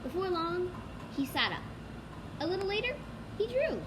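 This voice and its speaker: A woman's voice: very high voice, big pitch swings, normal speed. A female student reading a children's storybook aloud, with noise behind the voice. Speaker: student reader. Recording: clearly noisy background.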